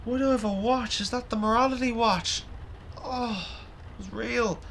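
A man's voice: extremely high pitch, steady tone, moderate pace, gravelly, fairly bright tone, a little hiss or hum, heavily acted. A man playing an ordinary, exasperated dead man in a radio drama, casual and sardonic. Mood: shaken, exasperated.

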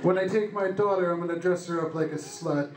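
A young man doing deadpan stand-up comedy in short one-liners into a handheld microphone on a club stage. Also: high voice, fairly quick. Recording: echoey club room with loud noise. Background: loud noise, audience laughter.

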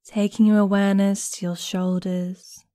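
A woman guiding a meditation in a gentle, soothing, unhurried manner with long pauses between phrases. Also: mid-range voice, little pitch movement, dry recording, clean studio sound. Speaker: guided meditation instructor. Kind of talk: guided body scan meditation narration.

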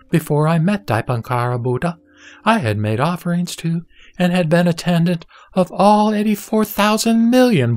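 A man playing the Buddha as a serene, solemn teacher, reciting scripture in measured, deliberate phrases for an audio drama. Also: medium pitch, a little mellow, natural voice. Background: music.

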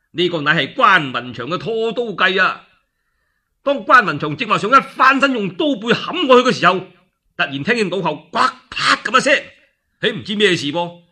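A man's voice: high-pitched, pushed and bright, husky. A man with a Cantonese accent, a radio storyteller narrating a classical war tale theatrically, with exclamations and spoken sound effects.

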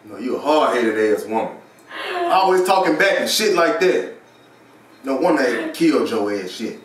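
A man's voice: mid-range voice, big pitch swings, normal speed, slight background noise, natural voice. In a very echoey room, caught from a distance, an actor plays a young man who bullies his partner. He is profane, commanding and out of work, in a homemade stage drama. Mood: angry, bossy. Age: young adult. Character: domineering, foul-mouthed unemployed man bullying his partner. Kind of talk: amateur stage drama dialogue. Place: small living room, far camera.

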